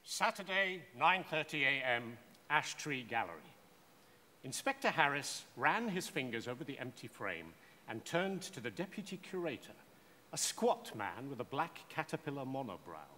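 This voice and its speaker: A man narrating a scripted short story in a measured, theatrical way. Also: mid-range voice, sing-song, sharp tone. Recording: echoey hall with faint noise.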